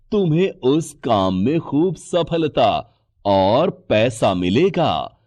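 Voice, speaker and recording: Kindly sadhu for a storybook tale, male actor; unhurried, reassuring, very varied intonation. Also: medium pitch, a little mellow. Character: kindly holy sadhu granting a magical blessing. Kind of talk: moral story dramatization.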